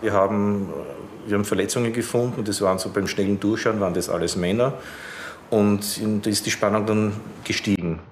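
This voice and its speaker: A man's voice: lowish voice, fairly even intonation, brisk, slight background noise. A man explaining his research spontaneously in an interview.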